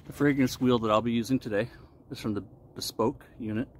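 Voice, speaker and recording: A man in his forties giving a casual explanatory talk to camera as a vlogger, with deliberate, halting phrasing, recorded outdoors. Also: mid-range voice, fairly even intonation. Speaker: vlogger reviewing shaving products. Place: outdoors in a wooded park clearing. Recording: noisy outdoor ambience. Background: outdoor ambient noise.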